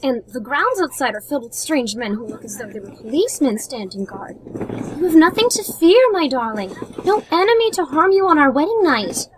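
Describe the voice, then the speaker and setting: A woman's voice: high-pitched, big pitch swings, brisk, a crowd in the background, poor audio. A woman playing an affectionate, worried young bride in a radio play, tender and theatrical. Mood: tender concern. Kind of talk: amateur radio-play style acting.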